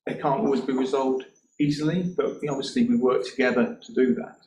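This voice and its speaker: A man gives a council committee his professional view, stressing his points with deliberate repetition. He is heard from a distance in an echoey meeting room, with faint hiss behind him. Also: medium pitch, steady tone, a little mellow. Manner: deliberate, emphatic, with repeated words. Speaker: independent reviewing officer manager.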